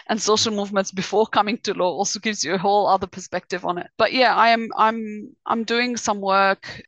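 A woman's voice: medium pitch, fairly bright tone, no echo, dull audio. A woman from Lebanon in her thirties, a researcher answering interview questions thoughtfully, often restarting her sentences. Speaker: guest researcher. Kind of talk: podcast interview.

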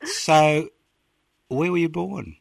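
A man's voice: fairly high pitch, animated, takes it slowly, creaky, slight background noise. A man, hosting a casual radio interview in a playful, teasing way. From Australia. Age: 60s. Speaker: radio host.

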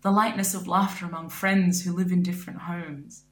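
A woman performing a spoken word poem in a measured, reflective way. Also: on the low side, flat delivery. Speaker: poet. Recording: roomy echo.

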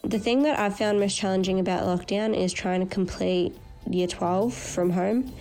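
A teenage girl, a final-year high school student, speaking earnestly in a voice note. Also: moderate pace, darkish tone, soft background music. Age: teens. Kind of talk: recorded voice note.

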